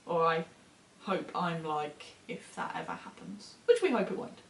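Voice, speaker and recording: A woman's voice: on the low side, expressive, moderate pace, creaky, echoey room. A woman co-hosting a casual book chat, speaking in an enthusiastic, conversational way as she gives recommendations. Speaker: book reviewer co-hosting short fiction recommendations.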